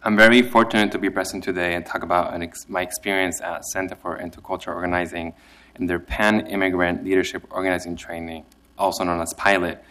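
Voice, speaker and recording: A man's voice: low voice, level tone, quick pace, sharp tone. A young man from Chile giving nervous, careful testimony that he reads from a prepared statement. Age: young adult. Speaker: immigrant program participant giving testimony.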